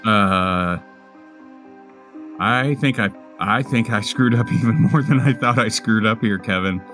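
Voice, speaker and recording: Man acting a bumbling male swordsman, fantasy game, deep, stammering, slow to get words out. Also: fairly bright tone, faint music behind. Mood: sheepish, stunned. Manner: stammering, halting.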